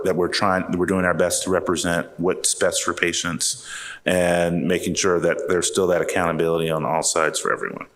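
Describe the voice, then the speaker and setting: A man speaking on a conference panel, measured and hesitant with frequent fillers. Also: low-pitched, fairly bright tone. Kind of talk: panel discussion remarks. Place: conference hall. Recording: reverberant conference hall.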